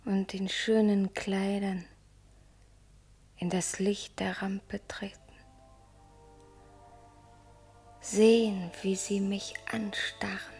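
An actress in a German radio play as a restless, vain, stage-struck young wife, breathy and intense, often sinking towards a whisper. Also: female voice, mid-range voice, level tone, darkish tone, faint music behind. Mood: dreamy, rapt. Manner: dreamy, intense, drawn-out phrases.

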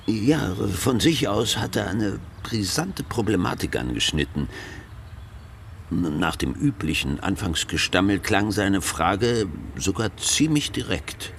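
A gravelly, low-voiced zealous pastor. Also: male voice, sing-song, takes it slowly, a little hiss or hum, exaggerated voice. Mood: earnest, insinuating. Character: zealous, self-satisfied male pastor recounting divine calling. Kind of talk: radio drama testimony.

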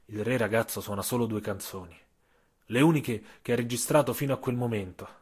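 A man tells a scripted story in a rough-edged, husky voice of medium pitch. He is theatrical, leaning hard on key phrases and leaving deliberate pauses. Repeated phrases give his delivery an incantatory feel. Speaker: author and narrator. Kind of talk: scripted audio-story narration.

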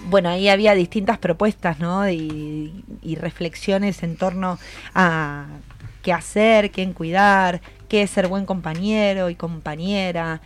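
A woman's voice: on the low side, steady tone, measured pace, soft background music. A woman with a Rioplatense Argentine accent hosting a conversational radio interview, often checking back with her guests. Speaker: radio host.

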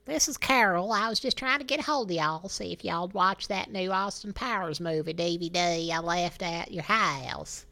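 A man's voice: sits high, moderate pace, gravelly, hardly any pauses, pushed and bright, no room sound, heavily acted. A man voicing a chatty woman with a drawling, folksy manner. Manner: drawling, folksy, says y'all and hun. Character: chatty Southern woman named Carol, drawling.

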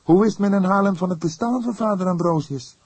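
A man's voice: high-pitched, fairly quick, darkish tone, slightly rough, no room sound, pitched above the performer's own voice. A man playing a young police detective in an audiobook, an inquisitive and easily indignant colleague who speaks emphatically. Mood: puzzled, probing. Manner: emphatic, questioning. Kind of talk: audiobook character acting.

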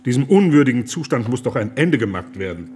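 A man's voice: mid-range voice, sing-song, background noise, close to an everyday voice. Man acting an earnest, indignant pastor. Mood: ashamed, outraged. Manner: grave, emphatic, deliberate formal argument. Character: earnest, indignant German pastor pleading urgently, male. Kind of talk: radio drama acting.

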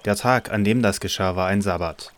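A man's voice: fairly low pitch, brisk. A man narrating a Bible story as the scripted narrator of an audio drama.

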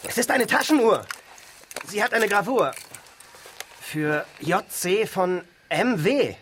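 An actor playing an earnest newspaper journalist on an expedition in a radio drama, with a husky voice. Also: male voice, sits high, lively intonation, slow, fairly bright tone, a little hiss or hum, full character voice. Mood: curious. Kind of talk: German radio drama acting, letter narration and dialogue.

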